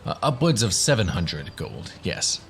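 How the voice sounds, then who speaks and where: A man's voice: low-pitched, big pitch swings, a little slow, vocal fry, fairly bright tone, slight background noise, exaggerated voice. A man voicing a flustered, eager professor who rambles and fumbles politely in a radio-play tabletop game.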